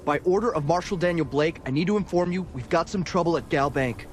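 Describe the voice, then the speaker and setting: An actor voicing a plain-spoken frontier city guard in a video game, folksy yet official. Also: male voice, on the high side, fast talker, natural voice. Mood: dutiful, cautioning.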